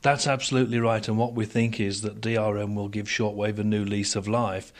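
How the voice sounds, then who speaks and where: A man with a lowish voice and very little melody answers radio interview questions. He explains technical matters in formal, measured sentences and articulates his points with care. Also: flat delivery. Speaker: interviewed expert on broadcast technology.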